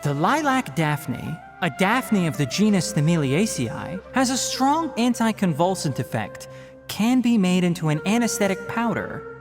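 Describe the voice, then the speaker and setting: A brainy young forest ranger dude, kinda high voice, lively, lectures precisely, soft music behind. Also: male voice, a little slow, full character voice. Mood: lecturing. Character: young male fox-eared forest ranger, scholarly, stern. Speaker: forest watcher. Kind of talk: game cutscene voice acting.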